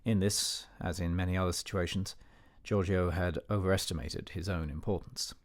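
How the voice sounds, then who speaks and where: A man acting as an educated, disapproving older gentleman narrating a memoir in a radio play, measured, formal and dignified. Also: deep voice, fairly even intonation, natural voice. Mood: measured, reflective.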